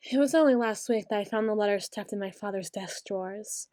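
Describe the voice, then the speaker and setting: A young woman voicing a brooding queen who speaks softly and musingly, close to the microphone, in an ASMR roleplay. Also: mid-range voice, no echo, lightly acted. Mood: bitter. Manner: soft-spoken, slow and musing, turning bitter. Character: brooding young queen, bitter toward her late father.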